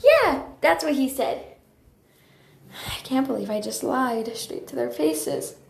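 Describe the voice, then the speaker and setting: A woman voicing an earnest, eager young apprentice cat in a childlike, pleading way, as for animated cartoon lines. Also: high voice, slow, vocal fry, roomy sound, a little hiss or hum, full character voice. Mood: eager, bluffing, then guilty. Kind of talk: character voice lines for a fan animation.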